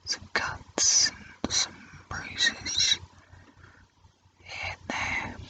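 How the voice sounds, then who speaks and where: A person whispering casual ASMR commentary in an unhurried, musing, informal way while drawing, in a breathy unvoiced voice. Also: far from the mic, low-quality recording. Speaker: host of a drawing ASMR channel. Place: indoors at a drawing easel.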